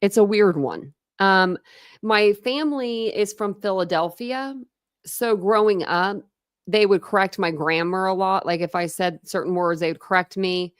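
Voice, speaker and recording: A chatty woman hosts a loose true crime livestream and wanders between topics with quick asides. Her accent blends the American Midwest and South, her pitch sits in a middle range, and the sound is dry and close. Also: smooth, dry recording. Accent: mixed Midwest and Southern American. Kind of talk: casual livestream chat reading comments.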